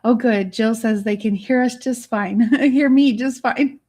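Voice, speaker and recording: A middle-aged woman with a raspy, hoarse voice, hosting a casual live chat from her home studio on a studio mic. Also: a little high, a little slow, a little mellow. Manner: chatty, bubbly, giggly. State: hoarse, worn voice. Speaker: livestream host. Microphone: studio microphone.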